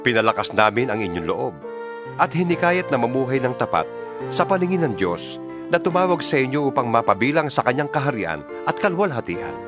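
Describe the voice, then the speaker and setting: A man's voice: medium pitch, sing-song, fairly quick, over music. A man with a Filipino accent giving a solemn, earnest dramatized reading of scripture as a scripted narrator.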